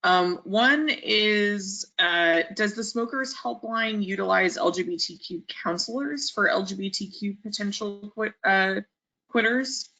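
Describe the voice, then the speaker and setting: A woman's voice: mid-range voice, a little slow, sharp tone. A woman reading out audience questions hesitantly, with many fillers, over a video call. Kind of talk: webinar moderation.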